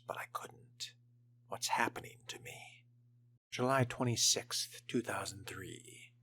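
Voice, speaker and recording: A man voicing a naive, frightened young vampire reading dated diary entries in a hushed, confessional way. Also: sits low, slight background noise, full character voice. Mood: hurt, worried. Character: young fledgling vampire diarist, naive, lovesick, afraid.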